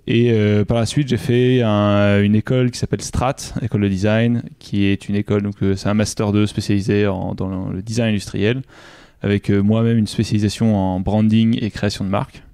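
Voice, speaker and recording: A man's voice: fairly low pitch, flat delivery, a little fast, dark tone, clear, resonant tone, no room sound, clean studio sound. A young man from Normandy, a UX designer, answering interview questions in a casual, hesitant way with many fillers and restarts. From Normandy, France. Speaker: UX designer guest.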